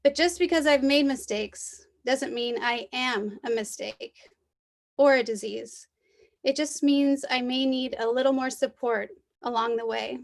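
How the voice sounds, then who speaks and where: A woman's voice: high voice, fairly even intonation, measured pace, gravelly. A woman speaking as a poetry mentor at an online reading over a video call. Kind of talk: introducing and reading a poem aloud.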